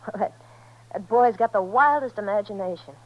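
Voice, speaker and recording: A woman's voice: mid-range voice, normal speed, muffled recording, lightly acted. A woman playing a young, hard-edged, world-weary ranch wife in a 1950s radio Western, folksy and evasive. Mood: evasive, irritated. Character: young, restless, hard-edged ranch wife, former dance-hall girl.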